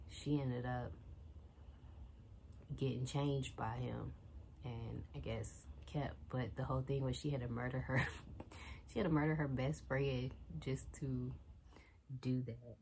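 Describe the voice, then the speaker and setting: Young woman, very low mellow voice, casual rambly bedroom vlog, faint room hiss. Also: little pitch movement, slow pace, gravelly, pauses often. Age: young adult. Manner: rambling, retells a book plot loosely with many fillers. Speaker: vlogger. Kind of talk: casual chat while tidying. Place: small bedroom with bookshelf and TV, indoors. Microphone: phone or camera set on a shelf, she moves near and away.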